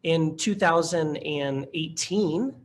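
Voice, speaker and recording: Earnest male presenter on a computer mic, slightly high voice, conversational with fillers, faint background ambience. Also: slow, slight background noise. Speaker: workshop presenter. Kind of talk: webinar storytelling to instructors.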